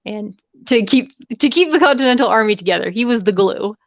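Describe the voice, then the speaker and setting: A woman co-hosting a casual book chat on a livestream, informal and a little halting. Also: a little high, lively intonation, no echo, muffled recording. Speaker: livestream co-host discussing a book. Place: indoors, livestream call.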